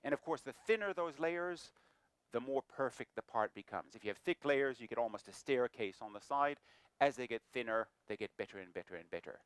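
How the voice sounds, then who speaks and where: A middle-aged man from New Zealand giving a lively, self-deprecating lecture as guest speaker. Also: mid-range voice, a little fast, clear, resonant tone, no echo. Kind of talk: lecture talk. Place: lecture room.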